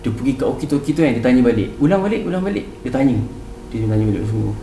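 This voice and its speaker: A man's voice: medium pitch, expressive, rapid-fire, warm tone, slight background noise. A young Malaysian man telling a story casually, acting out bits of dialogue and repeating phrases as he goes. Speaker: podcast guest telling a ghost story. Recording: roomy, distant mic, music underneath. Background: music.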